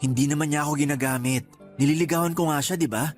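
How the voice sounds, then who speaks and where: A man's voice: fairly high pitch, fast talker, soft background music, natural voice. A man with a Filipino accent playing a lovestruck young suitor in a radio drama, earnest, hurt and defensive. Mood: lovesick, defensive. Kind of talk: radio drama acting in casual dialogue.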